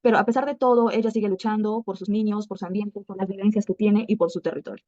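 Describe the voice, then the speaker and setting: A woman presenting at an online event, speaking haltingly with pauses and restarts. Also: mid-range voice, fairly even intonation, mellow, no echo. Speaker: student presenter. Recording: dull dry lo-fi sound.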